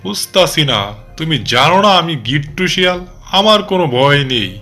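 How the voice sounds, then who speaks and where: Man voicing a boastful sly cartoon fox dad, bright-voiced. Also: fairly high pitch, expressive, a little fast, faint music behind. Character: boastful doting father fox, sly chicken thief. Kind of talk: animated storybook cartoon dialogue.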